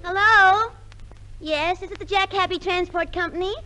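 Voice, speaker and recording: A woman's voice: very high-pitched, normal speed, bright, forceful tone, soft background music. An actress playing a brash, chirpy company telephone girl in a 1950s radio comedy. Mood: chirpy, businesslike. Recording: noisy 1950s radio recording.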